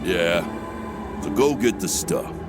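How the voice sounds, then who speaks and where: A man voicing a gruff, cocky, streetwise young mentor in a video game. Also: low voice, animated, takes it slowly. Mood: brusque. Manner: brusque, cocky. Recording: loud music behind the voice.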